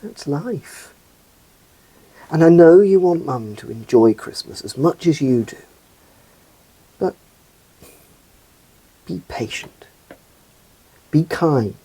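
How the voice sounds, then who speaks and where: A man playing a gentle, reassuring, patient dad in a scripted children's puppet show, in a soft husky voice. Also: fairly high pitch, lively intonation, takes it slowly, long pauses, exaggerated voice, poor audio.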